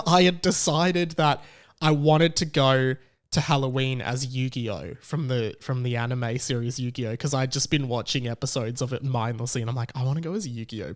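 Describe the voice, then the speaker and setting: A man with an Australian accent and a medium-pitched voice talks casually, like a podcast host alone at the mic. He retells things in an animated, conversational way, slipping into quoted dialogue as he goes. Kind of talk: casual solo storytelling.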